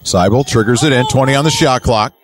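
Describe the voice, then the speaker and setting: A man's voice: mid-range voice, brisk. A man doing live basketball play-by-play in clipped running phrases, with emphatic calls. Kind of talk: live sports commentary. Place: courtside at an indoor basketball game.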